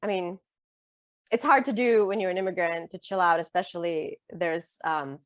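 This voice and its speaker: Chatty low-voiced woman, native Russian speaker. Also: normal speed, no echo, studio quality. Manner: chatty, many fillers and restarts, laughs easily. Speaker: author and guest. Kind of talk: conversational interview.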